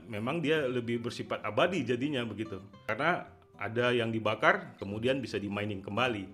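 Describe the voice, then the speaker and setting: A man's voice: mid-range voice, fairly bright tone. A man giving casual, conversational market commentary as a video host, often ending phrases with a tag word. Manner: conversational, repeatedly tags phrases with "ya".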